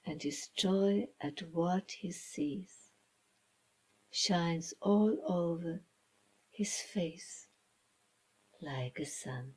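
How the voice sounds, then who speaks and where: A woman reading a children's story as its author, in a dramatic storybook style with hushed, breathy phrases and deliberate pauses. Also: fairly low pitch, rough recording. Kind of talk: slow dramatic storybook narration.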